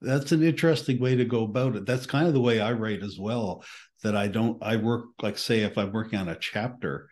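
A man's voice: lowish voice, brisk, darkish tone. An older man with a Canadian accent, hosting an interview podcast over a video call in an easygoing way. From eastern Canada. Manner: easygoing, laughs readily. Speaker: podcast host. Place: home room with bookshelves. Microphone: headset, video call.

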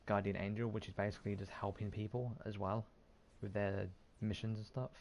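A man with a low voice and a lot of fry talks casually through his gameplay. He swears freely and mutters to himself as he goes. Game noise is clearly heard behind him. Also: level tone, a little fast, a little mellow. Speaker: gaming video host. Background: video game sounds.

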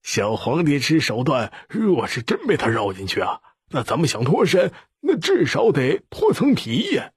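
A man's voice: fairly high pitch, wildly swooping pitch, moderate pace, slightly rough, dry recording, clean studio sound, voice pushed up, full character voice. A man voicing eager old followers in an audiobook, admiring and exclamatory in manner. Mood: relieved admiration.

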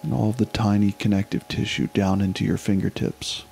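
A man leading a guided meditation, giving slow, unhurried instructions with long pauses. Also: on the low side, level tone, gravelly, a little mellow, soft background music.

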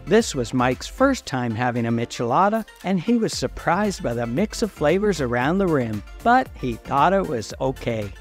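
A man's voice: medium pitch, very animated, moderate pace, hardly any pauses, soft background music. A man narrating a travel vlog in an upbeat, descriptive tour-guide voice-over.

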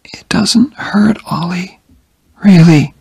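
A man voicing a frail, dying young woman who is tender and stubborn, in a breathy high whisper. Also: slow pace, a little mellow, no room sound, exaggerated voice.

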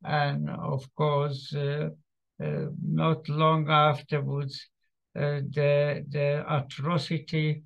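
A man in his eighties with a Slovak accent gives a personal testimony talk in a halting, hesitant way over a video call from home. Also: on the high side, level tone, creaky. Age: 80s. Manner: halting, hesitant delivery with long pauses. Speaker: guest speaker, survivor giving testimony. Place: small home room indoors. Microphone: computer mic on a video call. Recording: muffled, lo-fi video-call audio.

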